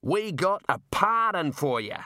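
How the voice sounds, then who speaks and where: A hearty jailer from a comic storybook, played by a male narrator, chummy and admiring. He talks colloquially with raised, swooping pitch in a dry, close sound. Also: animated, normal speed, a bit bright, dry recording. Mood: eager, shouting. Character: cheerful, matey male prison jailer, rough and admiring.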